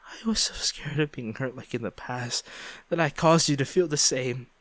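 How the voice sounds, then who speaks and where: A man acting a guilt-ridden ex-boyfriend pleading for forgiveness in an intimate audio roleplay, halting and emotional. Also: medium pitch, a bit bright, no echo, natural voice. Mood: anguished, tearful apology. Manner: halting, emotional, sighing, repeats himself.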